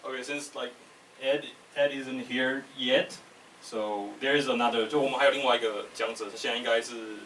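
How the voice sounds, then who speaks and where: A man moderating an informal live panel in English and Mandarin, asking loosely phrased, halting questions. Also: medium pitch, fairly even intonation, a bit bright. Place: live talk event in a room. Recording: echoey room, distant mic, faint noise.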